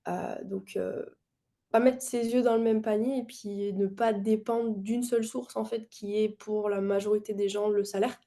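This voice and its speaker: A woman of thirty with a French accent from France, talking casually as a podcast guest. Her voice is clearly creaky and soft-toned, in a middle register. She often stops and rewords her sentences. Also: medium pitch, steady tone, moderate pace. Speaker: podcast guest, engineer and investing content creator. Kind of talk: casual interview chat.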